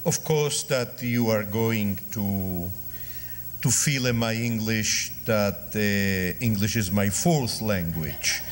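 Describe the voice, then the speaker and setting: Slow-spoken man with an Argentine Spanish accent. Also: lowish voice, slight background noise. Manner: slow, searching for English words. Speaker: guest speaker, rabbi. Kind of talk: lecture.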